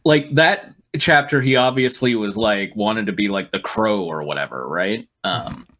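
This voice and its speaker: A man's voice: fairly low pitch, unhurried, a bit bright. A man reading a story aloud as host and breaking off for sarcastic, irreverent asides. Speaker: host and reader on a fan fiction riffing show.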